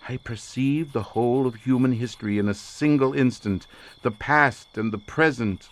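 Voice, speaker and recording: An actor playing an obsessed researcher lost in a drugged visionary trance, speaking theatrically and haltingly in an audio horror drama. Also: male voice, medium pitch, lightly acted. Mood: grand, rapt. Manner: theatrical, incantatory, halting with repeated words.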